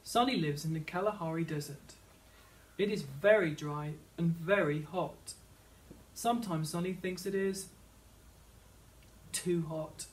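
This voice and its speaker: A man's voice: a little high, a little slow, pauses often. A man narrating a children's picture book, read aloud as a teacher would. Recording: slightly distant microphone.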